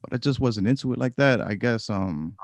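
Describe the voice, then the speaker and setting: A man's voice: medium pitch, lively intonation, brisk, no echo. A man hosting a podcast, talking in a loose, rambling way with lots of fillers. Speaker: podcast host. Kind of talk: casual podcast chat.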